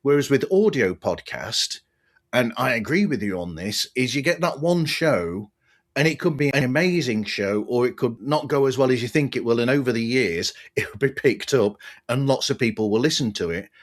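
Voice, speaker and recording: Guy, podcast host, mid-range voice, chatty and rambling as he thinks out loud. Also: medium pitch, expressive, moderate pace. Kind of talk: conversational interview.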